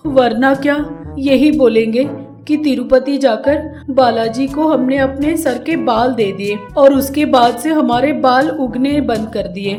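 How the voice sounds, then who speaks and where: A sharp-tongued, greedy older mother-in-law from a cartoon village tale, acted by a woman. Her voice sits fairly high and she is blunt and quick to blame. Music plays underneath. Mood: blunt, dismissive. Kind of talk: animated Hindi story voice acting.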